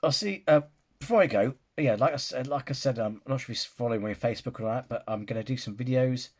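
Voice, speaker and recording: A man's voice: mid-range voice, fast talker. A man giving his casual opinion in a film reaction vlog, rambling and restarting his sentences. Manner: rambling, restarts sentences, often says "you know".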